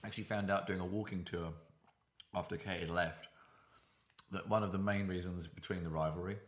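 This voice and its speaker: A man with a very deep voice hosts a casual podcast from his apartment. He rambles, restarts his sentences and drops in dry asides, all on a single shared microphone. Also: steady tone, a little fast. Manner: rambling, self-correcting, dry humour. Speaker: podcast host. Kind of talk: casual chat. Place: home apartment.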